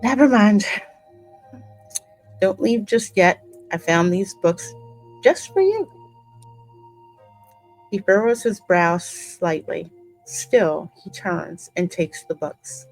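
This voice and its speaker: A woman's voice: mid-range voice, lively intonation, takes it slowly, pauses often, a little mellow, rough recording. A woman hosting a game playthrough, reading the player character's lines theatrically and reacting casually between them. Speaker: gaming host voicing the player character. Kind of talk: dramatic script reading mixed with casual reactions. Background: game music.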